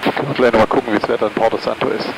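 A male airline pilot talking casually with his colleague in the cockpit, brisk and matter-of-fact, over a headset intercom. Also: fairly low pitch, fairly even intonation, gravelly. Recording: loud cockpit engine noise.

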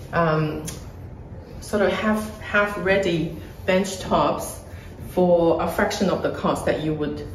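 A woman's voice: fairly low pitch, a little mellow, distant mic, a little hiss or hum. A woman, walking through a renovated kitchen and explaining what was done, filmed on a phone camera. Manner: explanatory, pointing things out as she walks. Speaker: property flipper showing a renovation. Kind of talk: casual home tour walkthrough. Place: bright empty renovated kitchen, indoors. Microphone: phone camera held a few feet away. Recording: echoey room, voice a bit off-mic.

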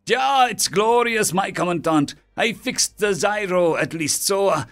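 A man's voice: high-pitched, animated, measured pace, a bit bright, soft background music, pitched above the performer's own voice, full character voice. A man voicing a fussy male otter engineer. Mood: proud.

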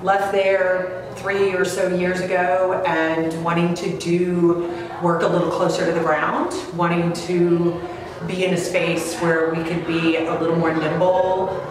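A woman's voice: lowish voice, a little slow, noisy background. A middle-aged woman from Florida, a gallery director, talking animatedly in an interview. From Jacksonville, Florida. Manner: animated, gestures while talking. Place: gallery room, indoors. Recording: echoey room.

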